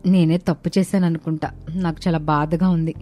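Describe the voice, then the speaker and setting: A woman playing low-voiced, earnest Indian family woman. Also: little pitch movement, a little fast, warm tone, close to an everyday voice. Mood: apologetic. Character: earnest young Indian daughter-in-law and her scolding household women. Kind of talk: dramatized family moral story dialogue. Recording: music behind the voice.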